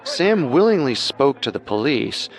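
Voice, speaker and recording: A man's voice: medium pitch, very animated, measured pace, faint music behind. A man hosting a true-crime show with scripted narration. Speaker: host and narrator.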